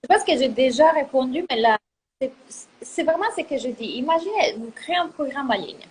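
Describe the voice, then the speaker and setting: A woman's voice: high-pitched, expressive, a little fast, a little mellow, slight background noise, low-quality recording. A woman guest coach speaking French as a second language, earnest and emphatic, repeating words for stress. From Italian family. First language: not French. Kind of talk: casual talk, motivational.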